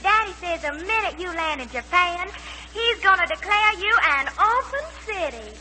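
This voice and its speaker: A sweet, naive young belle chirps in a very high, bright voice. She is a colonel's daughter in old radio comedy, echoing in a reverberant hall. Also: very animated, moderate pace, exaggerated voice. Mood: blithely innocent. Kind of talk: 1940s radio comedy acting. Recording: vintage 1940s radio recording, reverberant hall.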